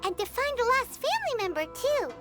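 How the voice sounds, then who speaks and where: A woman voicing a tiny childlike fairy companion in a video game, squeaky, blunt and prone to worry. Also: big pitch swings, fairly quick. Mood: chirpy. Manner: refers to self in third person. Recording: music behind the voice.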